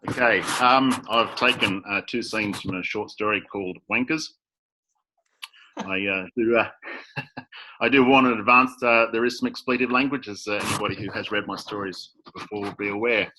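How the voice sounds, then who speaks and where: A man's voice: lowish voice, steady tone, moderate pace, background noise. An Australian man, a crime author, reading his own hard-boiled first-person story over a video call.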